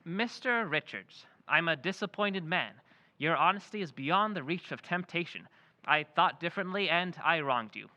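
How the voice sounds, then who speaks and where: A man's voice: a little high, lively intonation, normal speed, bright, forceful tone. A man playing a mysterious male stranger in an old-time radio play, speaking firmly and precisely. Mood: solemn. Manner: firm, precise, clipped.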